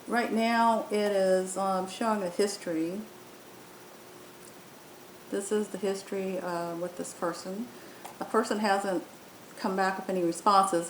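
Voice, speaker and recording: Echoey woman giving a step-by-step software tutorial. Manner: hesitant, explanatory, step-by-step. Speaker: app demo presenter. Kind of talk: unscripted software tutorial narration. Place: indoor room, voice-over on screen recording. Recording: roomy echo, faint rumbling noise.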